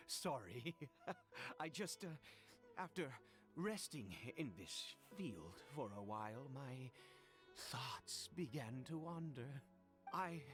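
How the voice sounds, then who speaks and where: Worn out nervous game dad, kinda high voice, stammers a lot, really up and down. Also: male voice. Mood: weary, wistful. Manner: hesitant, halting, frequent stammers and nervous chuckles. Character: anxious weary middle-aged father tending his sick son. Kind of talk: dramatic video game voice acting.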